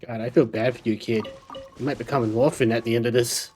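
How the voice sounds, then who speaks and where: A woman's voice: extremely low pitch, steady tone, fast talker, dark tone, slight background noise. A woman giving casual live commentary as she streams a game.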